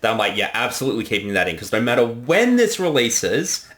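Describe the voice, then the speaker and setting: A man's voice: mid-range voice, big pitch swings, quick pace, fairly bright tone. A man giving casual director's commentary as the creator of a comedy production. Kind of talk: casual film commentary chat.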